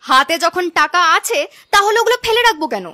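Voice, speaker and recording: Woman voicing a shrill, bossy young village girl. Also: animated, fast, sharp tone, dry recording, heavily acted. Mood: stubborn, ambitious. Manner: bossy, argumentative, scolding. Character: greedy, stubborn young village farm girl, scheming granddaughter. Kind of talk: animated moral-story cartoon dialogue.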